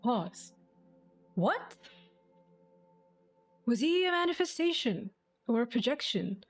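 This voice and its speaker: A woman's voice: a little high, big pitch swings, slow, faint music behind. A woman streaming a game with casual, reactive commentary, often musing aloud in questions. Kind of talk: casual live gameplay commentary.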